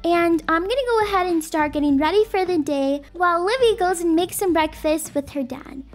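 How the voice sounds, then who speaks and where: A woman's voice: very high-pitched, brisk. A young woman narrating a scripted family roleplay story.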